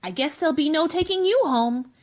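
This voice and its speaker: A woman's voice: high-pitched, lively intonation, a little fast. A woman playing a polite, earnest, curious young girl in a radio play. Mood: fretful, scolding.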